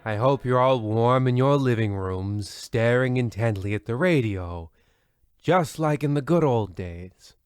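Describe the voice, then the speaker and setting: A slow-talking, formal radio mystery host played by a man, theatrical yet weary and a little disgruntled. The voice sits at a medium pitch in a dry, close recording. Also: animated, smooth, dry recording, close to an everyday voice. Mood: solemn, wry. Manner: formal, theatrical, dejected asides. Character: weary, wistful, disgruntled male host of a spooky radio show. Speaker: narrator and host of a radio anthology show. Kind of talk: old-time radio mystery host, scripted comedy.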